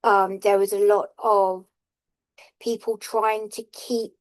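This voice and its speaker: A woman speaks over a video call, halting and self-deprecating, with plenty of fillers. Her pitch stays level and even. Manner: hesitant, many fillers, self-deprecating laughs. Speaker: workshop participant. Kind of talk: casual chat in an online workshop.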